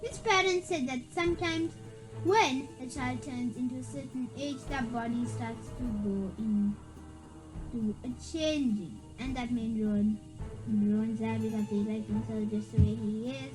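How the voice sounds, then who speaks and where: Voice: medium pitch, fairly even intonation, unhurried, rough recording. Someone reading a children's spooky story aloud, stumbling and repeating words along the way. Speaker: reader. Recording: background music. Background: music.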